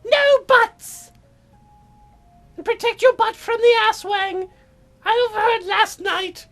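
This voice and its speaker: A woman's voice: very high-pitched, slow, a bit bright, faint music behind, pitched above the performer's own voice, heavily acted. A woman voicing a pushy middle-aged mother from a visual novel, nagging her teenage daughter. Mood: pushy, scolding. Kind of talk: visual novel voice-over put on by a let's-player.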